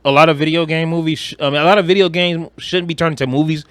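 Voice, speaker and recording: Male co-host, somewhat high pitch, dry recording, casual slangy banter. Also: steady tone, quick pace, a bit bright, no echo. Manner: slangy, conversational. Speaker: podcast co-host. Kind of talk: casual chat about video games and film.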